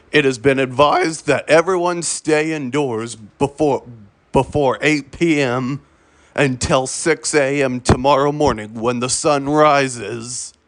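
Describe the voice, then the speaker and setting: A man's voice: medium pitch, no room sound. A man reading a scripted emergency warning as an announcer, stumbling over words and repeating himself. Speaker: emergency alert announcer in a hobby fictional broadcast.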